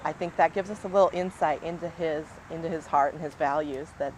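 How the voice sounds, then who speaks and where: A woman talks earnestly about organizing volunteers. Her voice is low and easygoing. A faint hiss sits under the outdoor recording. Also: a little fast. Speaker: volunteer coordinator. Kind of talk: interview.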